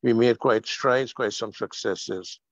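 An older man from Guyana telling his life story on a video call, slow and halting with many fillers. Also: mid-range voice, no echo. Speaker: guest speaker. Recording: muffled video call audio.

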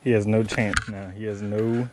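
A young man filming himself fishing at a pond, chatting casually and teasing his brother with excited, laughing commentary. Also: lowish voice, moderate pace, noisy background. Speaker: angler filming a fishing challenge. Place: outdoors by a rural pond. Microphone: head-mounted action camera.

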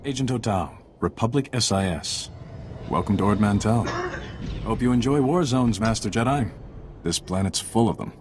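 A man's voice: lowish voice, normal speed, very noisy, lightly acted. A man playing a seasoned intelligence agent in a video game, wry and matter-of-fact. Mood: wry, briefing.

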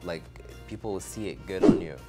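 Young guy from morocco, really deep voice, laid back designer interview, music under it. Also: fairly quick. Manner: relaxed, many "like" fillers. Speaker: fashion designer, guest. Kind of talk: casual interview answers. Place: studio set, seated on a couch. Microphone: desk microphone on a stand.

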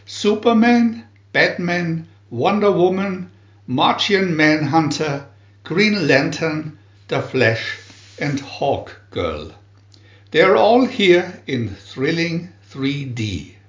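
A man reads aloud in a high voice with swooping, dramatic intonation. He announces each phrase deliberately, like a storybook narrator for children. The recording is dull and lo-fi. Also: big pitch swings. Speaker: booklet reader and channel host. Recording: roomy echo, dull lo-fi sound.

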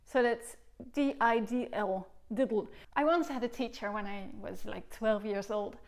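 A woman's voice: medium pitch, measured pace. A woman in her thirties with a Dutch accent, a recorder teacher patiently explaining articulation and sounding out syllables in a living room. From Netherlands. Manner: explains patiently and demonstrates syllables aloud with gestures. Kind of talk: demonstration lesson. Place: living room, seated on a sofa.

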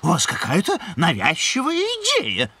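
A man voicing an excitable, erudite moose scientist in a children's cartoon, speaking in a florid, polite, theatrical way. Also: on the high side, moderate pace. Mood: persuasive. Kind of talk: animated children's cartoon dialogue. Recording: loud music behind.

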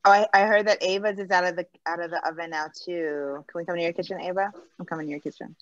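A woman's voice: on the low side, quick pace. A woman hosting an online cooking session in casual, exclamatory chat. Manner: lively, exclamatory. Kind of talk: casual video call chat. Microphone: video call. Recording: slightly dull video call audio.

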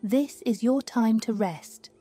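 A woman reading a scripted guided sleep meditation in a slow, soothing, hushed manner. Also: fairly high pitch. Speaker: meditation narrator. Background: music.

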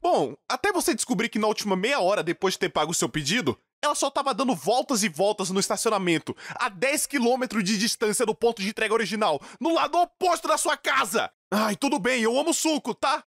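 A man's voice: high voice, big pitch swings, fast, few pauses, fairly bright tone, no room sound. A man with a Brazilian accent narrating a comedic scripted story in an exaggerated, dramatic, slangy way. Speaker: storytime animation narrator, dubbing in Portuguese.

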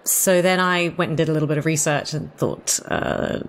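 A woman's voice: low voice, fairly even intonation, moderate pace. A woman drama director talking conversationally as a panelist on a podcast. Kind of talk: casual conversational interview.

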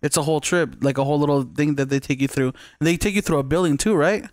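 A man's voice: on the high side, fast talker, a little mellow, no echo, clean studio sound. A young man, a native Spanish speaker, hosting a podcast in a casual, slangy, joking way. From Las Vegas, grew up partly in Baja California. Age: young adult. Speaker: podcast host. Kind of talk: casual chat. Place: small podcast studio, indoors. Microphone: close studio microphone on arm, headphones.

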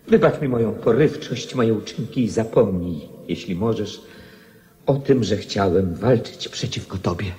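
A man's voice: lowish voice, warm tone. A man playing a defeated young king in a radio play, penitent and pleading, with formal speech. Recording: long reverberant echo.

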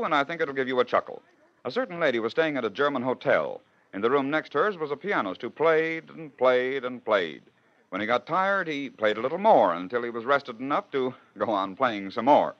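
A man's voice: mid-range voice, a bit bright. A man hosting and narrating in scripted 1940s radio style, with a rhetorical storytelling manner. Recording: dull old radio broadcast.

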